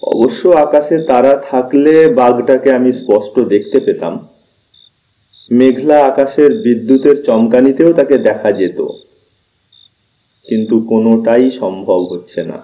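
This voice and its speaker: Guy reading a suspense story real slow n deliberate, very dark mellow voice. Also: medium pitch, dull audio. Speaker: audiobook narrator. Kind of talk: scripted suspense story narration.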